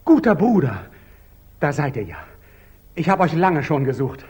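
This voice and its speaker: An actor playing a proud, earnest young knight templar, formal and guarded, in 1940s German radio drama style. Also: male voice, fairly high pitch, very animated, moderate pace, a little mellow, slightly rough, exaggerated voice. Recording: old muffled 1940s radio recording.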